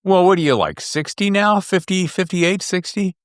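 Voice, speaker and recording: A middle-aged man hosting a casual interview show, talking in an excitable, geeky and slangy way. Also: high-pitched, normal speed, smooth, no room sound, studio quality. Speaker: podcast host.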